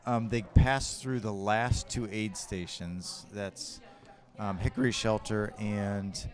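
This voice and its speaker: A man's voice: fairly low pitch, steady tone, slow pace. A man giving casual, matter-of-fact live race updates as an official and co-commentator.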